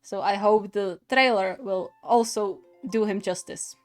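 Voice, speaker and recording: Female gamer host, mid-pitched, slow halting delivery, expressive casual reaction commentary with soft music behind.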